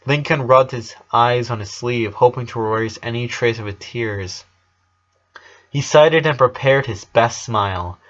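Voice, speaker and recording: A man's voice: medium pitch, measured pace, fairly bright tone, lo-fi sound. A man reading a story aloud as narrator, in an amateur scripted style.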